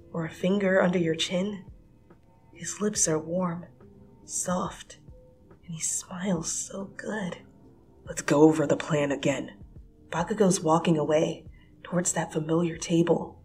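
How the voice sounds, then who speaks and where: Expressive woman reading a story. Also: lowish voice, unhurried, faint music behind. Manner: expressive, swinging from hushed whispers to breathless excited rises. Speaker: fanfiction reader. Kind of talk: dramatic second-person story reading.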